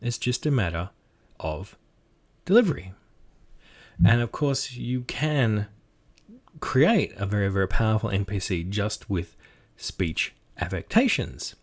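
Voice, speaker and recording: Low-voiced man explaining game mastering. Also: very animated, measured pace. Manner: demonstrative, switches between explanation and voices. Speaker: game master teaching technique. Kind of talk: casual tutorial.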